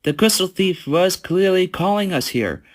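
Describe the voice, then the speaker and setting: A man's voice: sits high, lively intonation, normal speed. A man voicing a confident young male pony sheriff in a fan comic dub, take-charge in manner.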